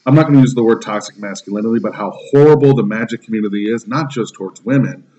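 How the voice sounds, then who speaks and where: A man's voice: lowish voice, fast talker, dark tone, muffled recording. A man in his thirties hosting a casual podcast, ranting emphatically with plenty of swearing. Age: 30s. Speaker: podcast host.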